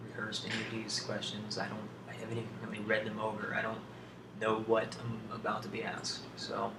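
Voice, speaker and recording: Low-pitched man doing ASMR, roomy noisy recording. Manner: hesitant, thoughtful, halting with long pauses. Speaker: ASMR video host. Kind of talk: ASMR, unscripted question-and-answer. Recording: roomy, distant, clearly noisy home recording.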